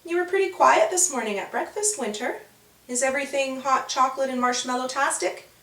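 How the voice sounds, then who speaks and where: A woman voicing a kind, caring female friend in a children's story, gentle and expressive. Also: high voice, brisk, echoey room, far from the mic, voice pushed up.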